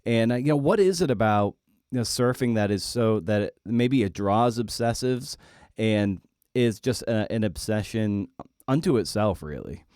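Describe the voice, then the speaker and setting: Guy hosting a podcast, lowish smooth voice, feels his way through questions. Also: expressive, a little mellow, no room sound, clean studio sound. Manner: halting, searching phrasing of questions. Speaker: podcast host. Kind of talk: conversational interviewing.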